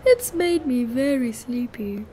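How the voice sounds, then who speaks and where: A woman's voice: fairly high pitch, animated, takes it slowly, warm tone, soft background music, low-quality recording. A woman voicing a timid, sheepish father pig in a children's storybook. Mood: sheepish, tired. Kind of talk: animated children's storybook read-aloud.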